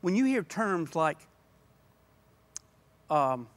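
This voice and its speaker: A man's voice: a little high, sing-song, very slow. An older man speaking earnestly and passionately as a church member in a podcast conversation. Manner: passionate, emphatic. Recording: podcast audio.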